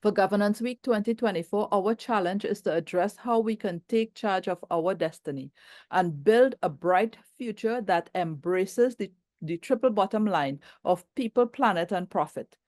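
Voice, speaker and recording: A woman moderator opens the day and introduces the keynote speaker, reading in a formal, measured way. Her mid-pitched voice sounds close and dry, with no room around it. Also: a little mellow, dry recording. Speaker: event host and moderator.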